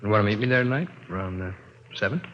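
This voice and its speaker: A man's voice: on the low side, lively intonation, racing, lightly acted. An actor in an old radio crime drama playing a sleazy, chummy peddler with slangy, wheedling salesman patter. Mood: chummy, dealing. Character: sleazy, chummy male peddler of illicit picture books. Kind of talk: 1950s radio drama acting. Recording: dull, very noisy old radio broadcast.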